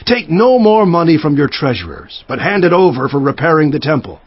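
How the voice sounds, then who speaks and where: A stern, reproachful king, with a husky, raised voice that swings forcefully up and down. The dramatized scripture recording sounds a bit dull. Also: on the high side, big pitch swings, fairly quick. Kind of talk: dramatized scripture audiobook acting. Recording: slightly dull, band-limited audio.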